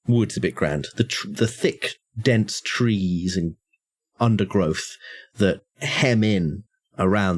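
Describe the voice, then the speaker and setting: A man's voice: medium pitch, darkish tone. A man narrating a tabletop horror game as game master, hesitant with many restarts.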